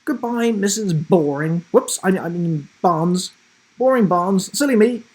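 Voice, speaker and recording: A man voicing a cheeky, bold old grandmother in a children's storybook, brisk and playfully rude. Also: high-pitched, animated, darkish tone, slightly rough, voice pushed up, heavily acted. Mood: breezy, mocking.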